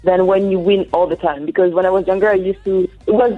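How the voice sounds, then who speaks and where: A young woman from France answering interview questions in English, soft-spoken and halting, with pauses. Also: medium pitch, steady tone, faint music behind. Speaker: guest, athlete. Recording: tinny phone-like line.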